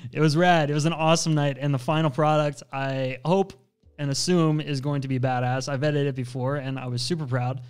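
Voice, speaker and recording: A young man with a fairly high, smooth and clear voice hosts a relaxed live stream on photo editing. He talks in an upbeat, slangy, chatty way and keeps reading out viewers' messages. He speaks close to a studio mic in a small room at home. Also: normal speed, faint music behind. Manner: upbeat, slangy, chatty, reads chat aloud. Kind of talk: casual live-stream tutorial. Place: home studio with brick wall, indoors. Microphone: studio mic on boom arm, headphones.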